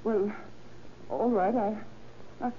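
An actress playing a faded, anxious little housewife in an old radio crime drama, hesitant, flustered and often stammering. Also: female voice, mid-range voice, slow, warm tone, close to an everyday voice. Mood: ashamed, flustered. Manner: hesitant, stammering, repeats words. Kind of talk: 1930s-40s radio crime drama acting. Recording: old tinny radio recording with noise.

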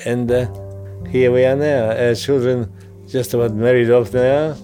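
An older man talks plainly and haltingly, with a mellow, dark voice. He recalls his life without fuss. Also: medium pitch, fairly even intonation, soft background music. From Poland. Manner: plain, halting, with brief agreeing asides. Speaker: interviewee. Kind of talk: casual personal recollection.

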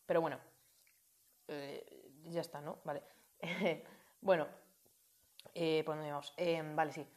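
Girl rambling thru a movie review, kinda low voice, lots of "vale" and "no?". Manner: chatty, lively, many fillers and restarts, asides to viewers. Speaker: film reviewer. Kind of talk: casual film review vlog.

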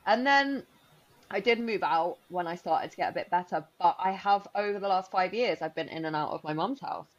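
A woman's voice: fairly low pitch, a little fast, dull audio. A woman in her thirties who grew up in Spain, co-hosting a podcast in casual, rambling chat full of likes and restarts. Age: 30s. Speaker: podcast co-host.

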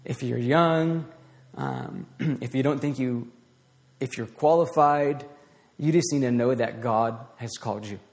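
A man giving an informal, conversational sermon to a small group, with a voice turning raspy and plenty of restarts and fillers. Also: mid-range voice, expressive, a little slow, a little mellow. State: voice getting raspy. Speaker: preacher. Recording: long hall reverb.

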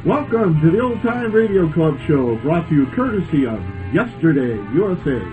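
A man's voice: a little high, big pitch swings, normal speed, narrow, tinny audio, loud music behind the voice. A man hosting a hobby club radio show, reading his introductions informally. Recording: faint background noise.

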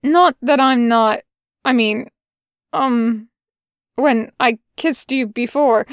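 A woman's voice: on the high side, takes it slowly, dry recording. A woman reading a fan audiobook, voicing a flustered, bewildered male demon who stammers and babbles. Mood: babbling.

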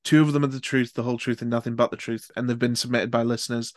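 A man's voice: medium pitch, little pitch movement, quick pace, no echo, studio quality. A man hosting a podcast, chatting casually and swearing freely. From Newcastle. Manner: chatty, sweary, reads game stories aloud. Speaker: podcast host.

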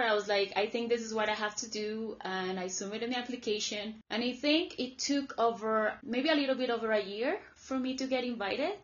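Chatty Colombian-born woman, higher voice. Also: dull audio. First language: Spanish. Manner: chatty, many "like" fillers. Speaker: guest, former volunteer. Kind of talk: casual interview storytelling.

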